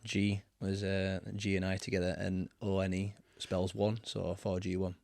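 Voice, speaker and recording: A man in his thirties with a Barnsley accent, a guest in a casual interview, talking in a relaxed, rambling way with many ums. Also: sits low, normal speed, gravelly, no room sound, clean studio sound. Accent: Barnsley, Yorkshire. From England. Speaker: guest, football manager. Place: cluttered home studio, indoors. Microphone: studio mic with headphones.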